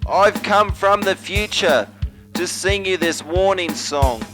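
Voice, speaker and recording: Brief: performer as time-travelling doom singer, slow delivery, medium pitch, loud drums and music behind. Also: close to an everyday voice. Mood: solemn warning. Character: doom-warning singer from the future. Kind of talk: comic spoken-sung warning song.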